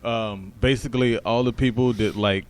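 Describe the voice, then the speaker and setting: A man's voice: medium pitch, fairly even intonation, normal speed. A man co-hosting a comedy podcast in a laid-back, blunt, casual way, swearing freely and laughing often. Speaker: podcast co-host.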